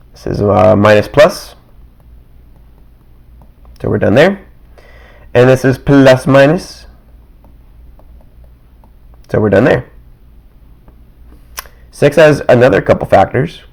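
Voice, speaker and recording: A man teaching math in a casual, worked-example way, with a raspy, dry-throated voice that keeps clearing, thinking aloud with small chuckles and asides from his desk. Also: mid-range voice, lively intonation, slow pace, pauses often, a little mellow. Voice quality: raspy, getting rougher.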